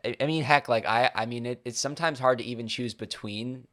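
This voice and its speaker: A man hosting a podcast interview, relaxed and thoughtful, often restarting his sentences. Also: mid-range voice, brisk. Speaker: podcast host. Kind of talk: casual interview.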